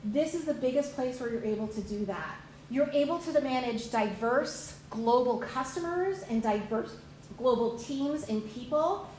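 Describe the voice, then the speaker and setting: A woman presenter with a fairly high voice, speaking emphatically and repeating her key phrases. She stands away from the mic, so the room rings around her. A light hiss sits under the recording. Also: animated, measured pace, a little mellow. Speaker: program presenter. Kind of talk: upbeat recruiting presentation. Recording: echoey room, far from mic.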